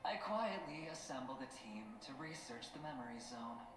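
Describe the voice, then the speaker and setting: An actor playing a self-assured male gambler-schemer in a video game, speaking in a theatrical, teasing, deliberate storytelling manner. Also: mid-range voice, animated, close to an everyday voice. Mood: smug, teasing. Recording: echoey game audio under music.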